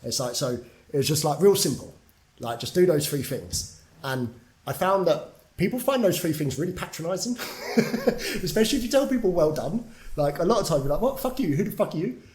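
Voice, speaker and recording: A man's voice: on the high side, animated, brisk, darkish tone. A young man from England, a podcast guest telling his story in a candid, casual way, swearing freely and using lots of fillers. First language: English.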